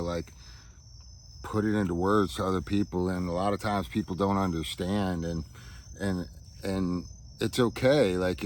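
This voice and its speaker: Low-voiced man, halting and reflective. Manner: halting, searching for words, often checking with "right?" and "man". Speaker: vlogger. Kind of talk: reflective personal monologue to camera. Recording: outdoor ambience with insects chirping.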